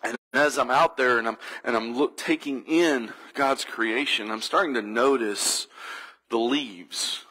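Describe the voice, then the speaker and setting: A man's voice: mid-range voice, a little slow, bright, forceful tone, poor audio. A man preaching a sermon as a church pastor, earnest and reflective, building through repeated phrases. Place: church sanctuary.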